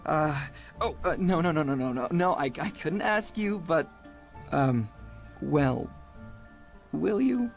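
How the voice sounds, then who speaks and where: Casting: bashful, earnest young puppeteer, stammering, gravelly edge, game dialogue over music. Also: male voice, on the high side, lively intonation, slow pace, pauses often, exaggerated voice. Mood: hesitant, pleading. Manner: hesitant, stammering. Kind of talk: video game voice acting.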